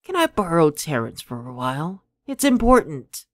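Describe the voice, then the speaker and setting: A performer voicing a stern, menacing young male manga character with a deliberate, taunting delivery. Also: medium pitch, very animated, dry recording. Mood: impatient, curt.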